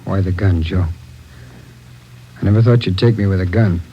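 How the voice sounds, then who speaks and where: An actor playing a tired, cornered escaped convict who gives himself up quietly, in 1940s radio drama style. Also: male voice, low-pitched, normal speed, slight background noise. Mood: bitter. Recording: dull old radio recording.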